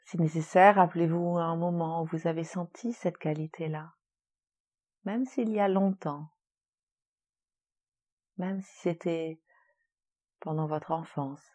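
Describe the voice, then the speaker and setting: A woman leading a guided relaxation meditation in a soothing, gentle, unhurried manner with long pauses. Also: fairly low pitch, steady tone. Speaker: yoga nidra relaxation guide.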